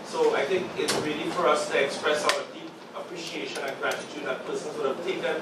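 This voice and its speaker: Hoarse man at a podium in an echoey room. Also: a little high, fairly even intonation, noisy background. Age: 40s. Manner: measured, halting, with many pauses and fillers. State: sore throat from a cold. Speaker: moderator. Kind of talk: opening remarks at a panel discussion. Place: small meeting room with a live audience. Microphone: podium mic, filmed from the back of the room. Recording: echoey room recorded from far off.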